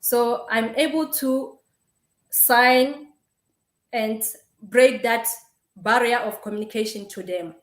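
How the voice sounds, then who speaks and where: Young Sesotho-speaking woman giving a webinar talk, fairly high voice, halting with fillers; video call, roomy sound. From Lesotho. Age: young adult. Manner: halting, with many fillers and repeated words. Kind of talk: online webinar presentation.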